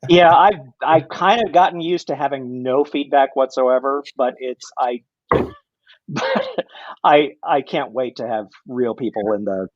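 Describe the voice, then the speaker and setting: A man's voice: mid-range voice, huge pitch swings, a little slow, no room sound. Easygoing male host on dull dry audio. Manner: easygoing, asks short probing questions. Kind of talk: casual interview.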